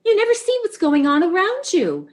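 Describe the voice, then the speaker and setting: Animated, anxious neurotic wife played by a woman. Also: very high voice, normal speed, full character voice. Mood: emotional, reproachful. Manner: fretful, emotional. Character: anxious, neurotic, sympathetic wife, easily overwrought. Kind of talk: stage comedy readers theater.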